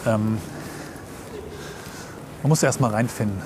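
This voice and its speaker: A man's voice: on the low side, measured pace. A man chatting casually as a podcast co-host while sightseeing on foot, easygoing and positive about what he sees. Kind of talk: casual chat and sightseeing commentary on the move. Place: outdoors on city streets, walking. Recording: clear outdoor city and traffic noise. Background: street ambience, vehicles.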